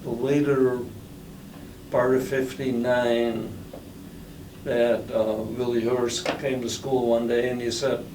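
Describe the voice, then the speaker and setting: An older man born in the Netherlands, with Dutch as his first language, recalling his past slowly with many pauses and fillers in an oral history interview. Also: mid-range voice, level tone. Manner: slow, halting, many fillers. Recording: roomy, distant, noisy recording.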